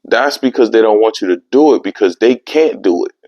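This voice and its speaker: A young man hosting his own podcast, talking in a casual, animated way with streetwise slang. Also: lowish voice, a little fast, dry recording. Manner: animated, streetwise slang, repeats "you know what I mean". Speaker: podcast host. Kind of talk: casual solo podcast monologue. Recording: dry, slightly dull lo-fi sound.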